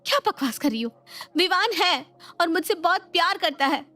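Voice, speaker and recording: A woman's voice: very high voice, expressive, normal speed, bright, forceful tone, soft background music, voice pushed up, heavily acted. A woman voicing a naive, lovestruck young married woman, coy and emotive, in a dramatized moral story. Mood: flustered, defensive.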